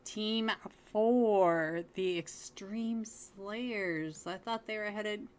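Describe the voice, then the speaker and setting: A woman casting an online esports match alone, chatty and giggly, getting excited at big plays. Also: mid-range voice, very slow. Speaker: esports caster. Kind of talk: solo live game commentary.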